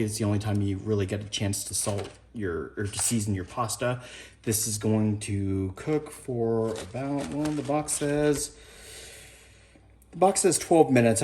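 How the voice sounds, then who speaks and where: A man's voice: fairly low pitch, darkish tone, reverberant, slight background noise. A man chatting casually as he cooks in his home kitchen, laid-back and swearing now and then. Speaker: home cook vlogger. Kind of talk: casual cooking narration.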